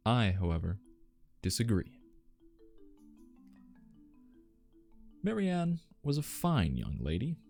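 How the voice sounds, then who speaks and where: Genteel butler, male narrator in role, low-voiced and soft-toned, formal, gentle ASMR storytelling over music. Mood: wistful, quietly indignant. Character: genteel, devoted male butler reminiscing about household staff.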